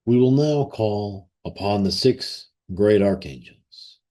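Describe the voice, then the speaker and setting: A man with a fairly low voice guides a ceremonial meditation. He speaks very slowly, leaving long pauses between short phrases. His delivery is solemn and reverent, like a formal invocation. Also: expressive, mellow.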